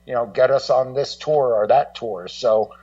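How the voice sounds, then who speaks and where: A middle-aged American man talking casually as a guest co-host in a radio discussion, in a rambling, halting way full of "you know". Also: mid-range voice, dark tone, recorded from a distance, muffled recording. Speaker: guest co-host and rock music journalist.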